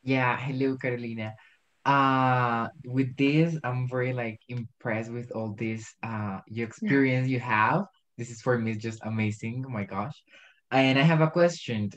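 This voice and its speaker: A man's voice: mid-range voice, fairly even intonation, a little slow. A man from Colombia with a Colombian accent, asking a long, earnest question in an English class on a video call. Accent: Colombian Spanish. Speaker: participant. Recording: dull, lo-fi call audio.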